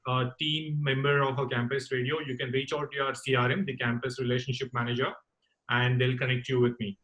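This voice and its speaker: A man hosting an online call-in talk session, speaking in a courteous, formal and halting way. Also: mid-range voice, little pitch movement, fairly bright tone, lo-fi sound. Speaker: host of a campus radio talk session. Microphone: online group call.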